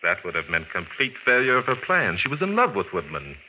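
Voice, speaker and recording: A man playing a shrewd, confident gentleman sleuth, crisp and explanatory in 1940s radio style. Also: on the low side, huge pitch swings, fairly quick. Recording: tinny, hissy 1940s radio recording.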